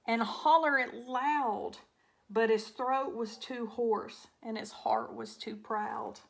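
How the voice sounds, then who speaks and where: A woman reading a rhyming children's storybook aloud as an expressive narrator. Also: fairly high pitch, slow pace, gravelly. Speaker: storybook reader.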